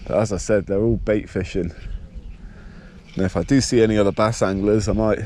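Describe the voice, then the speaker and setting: A man with a blocked nose and a slightly nasal voice, casually talking through his fishing outdoors by a dam in a relaxed, explanatory way. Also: on the low side, brisk, a little hiss or hum. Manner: relaxed, explanatory, thinking aloud. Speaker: fishing vlogger. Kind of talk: casual outdoor vlog narration.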